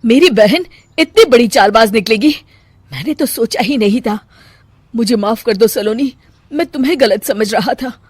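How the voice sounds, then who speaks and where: A woman voicing a hurried young husband in a cartoon story, in a quick, clipped manner. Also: a little high, a little mellow, breathy edge, no room sound. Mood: apologetic.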